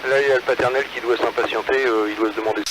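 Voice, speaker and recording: A man's voice: medium pitch, fairly even intonation, very fast. A man talking casually and colloquially as an amateur radio operator, with hesitations, over a two-way radio from a car. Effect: two-way radio transmission. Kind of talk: casual radio chat between hams. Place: in a car, mobile. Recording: noisy ham radio relay transmission. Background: radio and vehicle noise.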